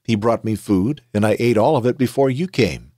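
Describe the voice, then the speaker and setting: A man reading aloud the words of a gentle, solemn old blind father in a scripture reading. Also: mid-range voice, moderate pace, dry recording, studio quality, natural voice.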